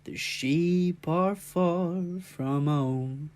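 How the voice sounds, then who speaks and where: A woman's voice: fairly low pitch, very slow, darkish tone. A woman acting in an audio play, expressively voicing assorted characters and remembered family. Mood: soft lullaby.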